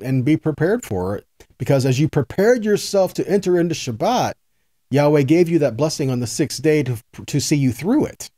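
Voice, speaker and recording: Guy doing a folksy earnest bible teaching, warm relaxed voice, repeats himself to make the point, really dry recording. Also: fairly high pitch, dry recording. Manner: earnest, folksy, repeats phrases for emphasis, checks in with "right?" and "okay?". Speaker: Bible teacher, host of a weekly teaching video. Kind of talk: sermon, informal Bible teaching.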